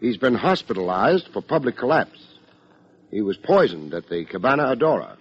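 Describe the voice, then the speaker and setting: An actor playing a world-weary, sardonic private detective in an old-time radio drama, delivering wry, deadpan wisecracks and narration. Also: male voice, lowish voice, normal speed, slightly rough, full character voice. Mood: wry, bantering. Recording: dull old radio recording, music behind.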